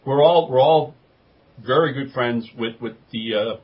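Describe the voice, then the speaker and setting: Man, husky rough-edged voice, medium pitch, casual co-host banter, dull lo-fi audio. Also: unhurried. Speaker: podcast co-host. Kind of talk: casual podcast chat.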